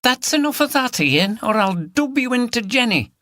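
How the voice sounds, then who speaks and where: A man voicing a bossy, no-nonsense village barmaid with a sharp, scolding manner in an audiobook. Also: very high voice, big pitch swings, normal speed, no echo, voice pushed up, exaggerated voice.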